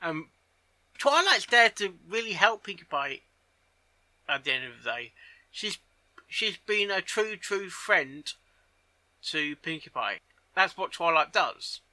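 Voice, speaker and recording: A man giving casual reaction commentary on a story, halting and often repeating his phrases as he goes. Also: sits high, sing-song, sharp tone, no echo. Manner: halting, repeats and rephrases himself. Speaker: reaction video host.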